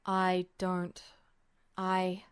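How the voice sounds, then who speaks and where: A woman voicing a reserved, uncertain grown man in a story reading, speaking in a halting, subdued way. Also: on the low side, completely flat delivery, clean tone, pitched below the performer's own voice. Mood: hesitant. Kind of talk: audiobook-style character dialogue.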